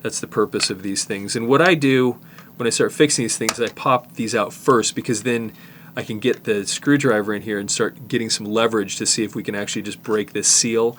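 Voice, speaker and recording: A man's voice: on the low side, fairly quick, slight background noise. A middle-aged man showing a cassette repair in his workshop, thinking aloud as he works, with restarts and asides. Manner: relaxed, thinking aloud with restarts while working. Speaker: tape repair technician. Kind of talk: hands-on repair demonstration.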